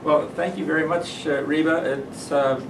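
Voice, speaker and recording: A man introducing a guest speaker at an event, halting with many fillers. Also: fairly high pitch, steady tone. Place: meeting room with audience. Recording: roomy, distant mic, background noise.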